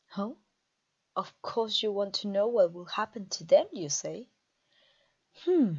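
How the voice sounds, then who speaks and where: A woman voicing an imperious, vengeful vampire queen in a fantasy audio roleplay, taunting a captive with theatrical, deliberate pauses. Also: mid-range voice, lo-fi sound. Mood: coolly taunting. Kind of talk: scripted ASMR fantasy roleplay audio drama.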